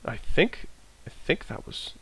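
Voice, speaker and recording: A man with a bit of a cold, his voice croaky, casually talking himself through an aircraft checklist and trailing off as he goes. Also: a little slow, a bit bright, low-quality recording. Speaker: flight sim streamer. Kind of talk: casual narrated walkthrough.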